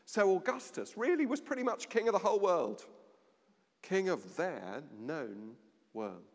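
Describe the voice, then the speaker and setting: A middle-aged man giving a sermon at a lectern in a large stone church, measured, with dramatic pauses and a voice that drops low. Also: expressive. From England. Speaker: vicar. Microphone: standing at a lectern, far camera. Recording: long church reverb.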